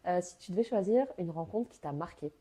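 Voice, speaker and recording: A young woman hosts in a lowish, very mellow voice. She chats informally with plenty of slang and encourages the person she is talking to. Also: animated, a little fast, breathy edge. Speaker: podcast host. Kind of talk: casual interview chat.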